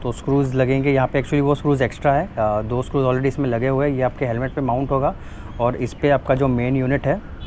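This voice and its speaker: A man's voice: mid-range voice, steady tone, fast, a little mellow. Indian guy doing a casual unboxing vlog, normal mid voice, mixes in english, some noise behind him. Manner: informal, switches between Hindi and English. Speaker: motovlogger presenting a product. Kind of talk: casual unboxing and installation walkthrough.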